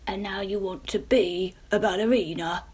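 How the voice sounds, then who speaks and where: A kindly father pumpkin speaks gently but firmly in a breathy voice, as a woman storyteller puts him on. He sounds resigned, for a storybook. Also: medium pitch, steady tone, moderate pace, lightly acted, low-quality recording.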